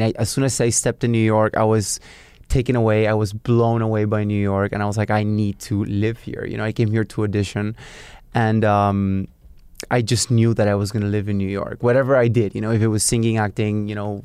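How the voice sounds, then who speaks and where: A man's voice: lowish voice, level tone, brisk, darkish tone, smooth, no room sound. A man in his twenties with a Peruvian Spanish accent, a guest in an interview, talking easygoing and loose with lots of "you know" and "um". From Peru. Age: 20s. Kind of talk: casual interview chat.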